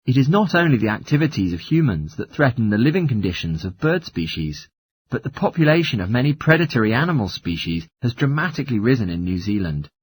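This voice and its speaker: A man reading a scripted academic lecture in a formal, measured manner. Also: medium pitch, big pitch swings, breathy edge, dry recording. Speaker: lecturer in a listening test recording.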